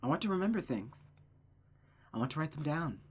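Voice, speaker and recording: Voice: mid-range voice, big pitch swings, fairly quick, breathy edge. Someone reading as an earnest, dreamy young woman. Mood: wistful. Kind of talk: character voice in read-aloud novel.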